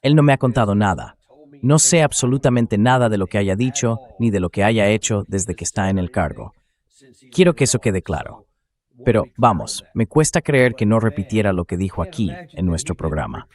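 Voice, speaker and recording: Low-pitched synthetic man, political commentator. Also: expressive, normal speed, a little mellow. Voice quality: synthetic AI dubbing voice. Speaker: host of a political commentary show. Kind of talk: spoken political commentary, dubbed translation.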